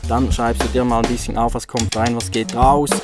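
Plain-spoken man explaining over loud music. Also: medium pitch, level tone, brisk. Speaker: financial literacy educator. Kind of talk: explanatory talk with acted-out dialogue examples. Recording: loud music bed under voice. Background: music with drums and guitar.

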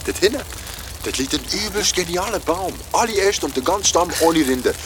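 Exuberant young buddy, radio drama, slangy and eager, highish voice with lively swings, noisy ambience. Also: male voice, moderate pace. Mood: excited. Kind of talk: radio play dialogue.

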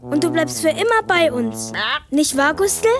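A woman's voice: high voice, very animated, a little slow, music in the background, heavily acted. A woman playing a sweet, eager little child who speaks tenderly in a family radio play. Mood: adoring.

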